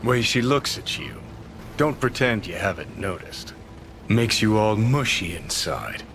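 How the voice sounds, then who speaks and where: An actor playing a cynical, abrasive rebel in a video game, sardonic, profane and sneering. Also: male voice, on the low side, lively intonation, unhurried, fairly bright tone, soft background music. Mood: sardonic, needling. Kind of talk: cinematic video game acting.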